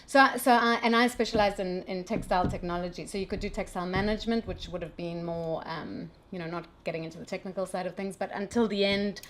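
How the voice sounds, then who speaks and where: A woman's voice: fairly low pitch, steady tone, quick pace, few pauses, a little hiss or hum. A middle-aged woman from South Africa, a brand founder, talking through her technical background in a casual interview with frequent restarts.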